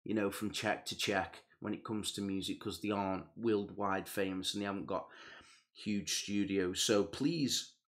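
A man's voice: low voice, moderate pace. A young man hosting a music reaction video, chatting casually and enthusiastically, turning earnest on serious points, speaking into a headset in a small room. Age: young adult. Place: small indoor room with gaming chair and purple lights.

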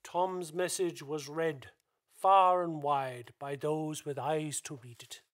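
A man narrates a radio play from a script. His voice sits high, and he takes his time, telling the story slowly and dramatically. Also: steady tone.

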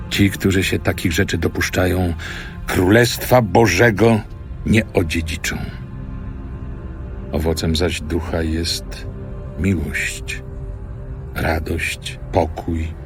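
A very deep, creaky voice speaks slowly over clear background music. It is an earnest, stern apostle, grave and emphatic, delivering an audio Bible monologue. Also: male voice. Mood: earnest teaching. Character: stern, earnest apostle teaching his followers.

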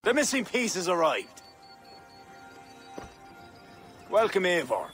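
An actor playing a proud, ambitious high king in a game cutscene, speaking with a commanding, regal delivery. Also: big pitch swings, moderate pace, a bit bright, faint music behind. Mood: welcoming. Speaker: king in a war council. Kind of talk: video game cutscene acting.

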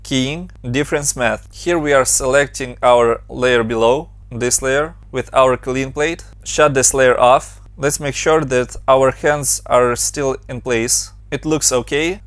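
A young adult man from Ukraine with a Ukrainian accent, giving brisk step-by-step narration for a software tutorial. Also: medium pitch, steady tone, no room sound. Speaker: motion design tutorial host.

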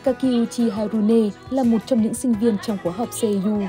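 Woman doing scripted news report voiceover, soft dark tone, faint music behind. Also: fairly high pitch, fairly even intonation, moderate pace. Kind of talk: scripted narration.

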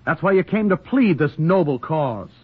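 Plainspoken, husky young shopkeeper. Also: male voice, a little high, lively intonation, moderate pace. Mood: bitter, reproachful. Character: plainspoken, wounded young drugstore owner. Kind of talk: 1940s radio acting. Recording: muffled, tinny 1940s radio recording.

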